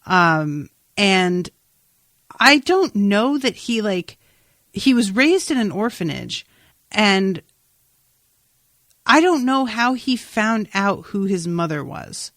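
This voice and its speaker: A woman's voice: medium pitch, big pitch swings, slow pace, long pauses, fairly bright tone, no echo, studio quality. A woman podcast host talking through a TV episode in an informal, rambling way with exclamatory asides. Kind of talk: casual TV recap chat.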